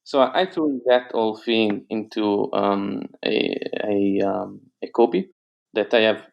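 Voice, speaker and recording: A man's voice: on the low side, steady tone, slow pace, gravelly, highs cut off. A man whose first language is Italian, speaking with an Italian accent in a casual interview, hesitant with many fillers and restarts. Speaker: guest entrepreneur.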